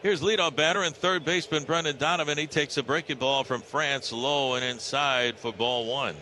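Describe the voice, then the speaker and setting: An American man calling a live baseball game on the radio, in clipped descriptive phrases with some drawn-out calls. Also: mid-range voice, steady tone, sharp tone. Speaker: baseball radio play-by-play announcer. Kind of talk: live play-by-play commentary. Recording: radio broadcast with clear background noise.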